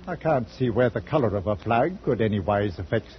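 A man's voice: lowish voice, expressive, fairly quick, lightly acted. Man as stolid sea captain, dull vintage radio. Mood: placidly pedantic. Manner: matter-of-fact, pedantic, hesitant fillers. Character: stolid, literal-minded, unflappable male sea captain. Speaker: actor in radio drama. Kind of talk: 1940s radio adventure acting. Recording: dull, noisy 1940s radio recording.

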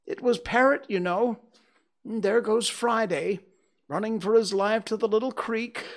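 A man's voice: fairly high pitch, animated, moderate pace, pitched above the performer's own voice. A man voicing an old man reliving his childhood in a storybook reading, exclamatory, stammering and sentimental. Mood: excited.

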